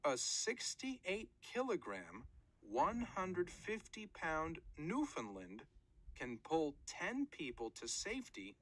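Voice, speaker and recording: Woman, children's nonfiction read-aloud, strongly swooping intonation, slightly husky, unhurried careful phrasing. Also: low voice, huge pitch swings, a bit bright, no echo, poor audio.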